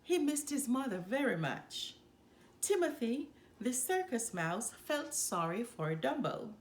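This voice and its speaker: Slow, highly animated woman storyteller. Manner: deliberate, expressive delivery. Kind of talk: scripted children's storybook read-aloud.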